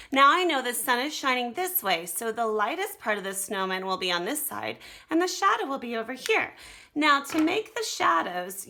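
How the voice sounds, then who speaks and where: A woman with a bright, fairly high voice gives a children's art lesson. She talks straight to her young viewers, encouraging them and explaining each step of the drawing as she goes. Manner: encouraging, instructional, speaking directly to young viewers. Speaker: art teacher leading children's activity.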